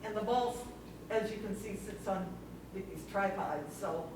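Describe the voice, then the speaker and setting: A woman giving casual commentary on her photos at a club meeting, halting and informal, with chuckles between remarks. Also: medium pitch. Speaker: camera club member presenting photos. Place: library meeting room, recorded from afar. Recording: very echoey room, distant mic, noisy.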